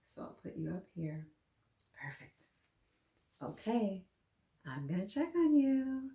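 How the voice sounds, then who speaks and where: A woman's voice: mid-range voice, darkish tone. A woman hosting a story time for young children, reading aloud and chatting to them with encouraging, simple questions. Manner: encouraging, addresses listeners directly with simple questions. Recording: roomy, echoing room sound.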